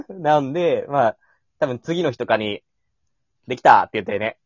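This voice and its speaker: A man's voice: medium pitch, very animated, normal speed, dry recording, highs cut off. A man chatting casually after a show as an amateur scriptwriter and performer, chatty and often laughing as he talks.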